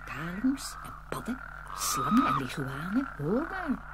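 A woman's voice: lowish voice, huge pitch swings, very noisy. A woman playing a sly, menacing snake-woman witch in an audio fairy tale, drawing out her taunting words. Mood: commanding, sly. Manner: slow, drawn-out, taunting delivery.

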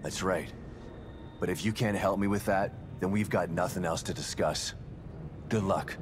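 A gruff male detective in a horror game, voiced by a man, speaking low and gravelly in terse, guarded lines. His voice sits in a very deep register, and faint background noise runs behind it. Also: normal speed, natural voice. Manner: terse and guarded, bitter self-reproach. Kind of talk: video game cutscene acting.

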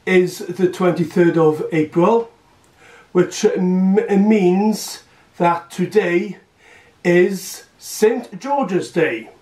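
A young man speaks slowly and earnestly to his camera, stumbling over words and filling gaps with "uh". His voice sits a bit high for a man. The sound is echoey and distant, as if the camera sits across a bare room. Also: a little mellow. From Wales. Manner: halting, many fillers and restarts, earnest. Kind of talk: casual vlog speech to camera. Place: small room at home, indoors.

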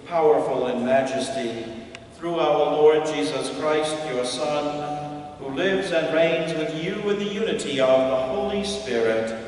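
A priest leading the prayers at mass, reciting slowly and solemnly at a lectern microphone in a church sanctuary. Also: male voice, fairly high pitch, darkish tone, slight background noise. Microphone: stand mic at lectern, far camera. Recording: echoey church from far off.

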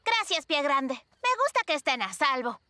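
Very high, bright cartoon girl. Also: sing-song, normal speed, no room sound, exaggerated voice. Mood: cheerful. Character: cheerful, adventurous, kind little girl. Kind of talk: animated children's cartoon dialogue.

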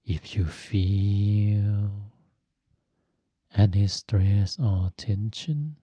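Very slow, low-voiced man guiding meditation. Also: no echo, dull audio. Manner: gentle, instructive, phrase by phrase with long pauses. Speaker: Buddhist monk and meditation teacher. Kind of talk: guided meditation.

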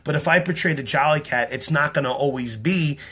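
A man in his thirties talking to his camera indoors, tired and slightly hoarse, explaining his act in an earnest, rambling way full of ums. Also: fairly high pitch, fairly quick, pushed and bright. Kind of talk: casual talk to camera. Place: small room indoors. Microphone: webcam or phone at close range.

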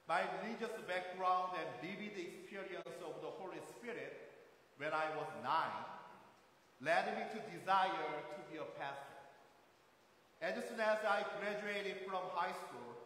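A man in his thirties from South Korea with a Korean accent, preaching a sermon as a guest pastor in a careful, measured way. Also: sits high, bright, forceful tone. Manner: careful, measured delivery with occasional rephrasing. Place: large church sanctuary. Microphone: far camera at back of sanctuary. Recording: echoey sanctuary, faint hiss, from far off.

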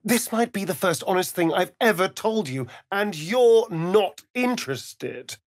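An actor with a British accent playing a sardonic, preening vampire rogue in a fantasy video game, with an arch, drawling, theatrical delivery. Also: male voice, high-pitched, lively intonation, measured pace, no echo, clean studio sound. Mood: affronted.

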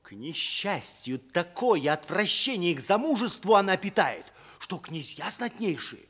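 Anxious, high-voiced loyal manservant. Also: male voice, sing-song, unhurried, fairly bright tone, slightly rough, heavily acted. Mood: sorrowful awe. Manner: deferential, declamatory, dramatic. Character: anxious, devoted servant of a prince, fairy-tale tragicomedy. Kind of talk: theatrical radio drama acting.

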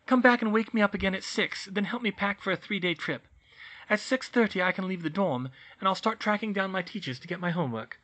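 A man voices a clever teenage schoolgirl witch in a storybook, lifted high and bright. She is bossy and earnest, speaking in an organised, insistent way. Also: fairly quick, dull audio. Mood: urgent, reassuring.

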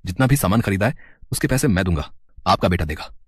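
A man's voice: low-pitched, animated, fast talker, husky, no room sound, clean studio sound. A man voicing a caring, earnest young husband and son-in-law in a family story.

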